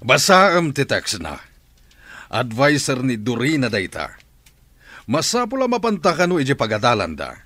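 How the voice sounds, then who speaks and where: A man's voice: medium pitch, wildly swooping pitch, moderate pace, no room sound, exaggerated voice. An actor playing a stern, hot-tempered father in a radio drama, commanding in manner. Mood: stern, urgent. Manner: commanding, forceful.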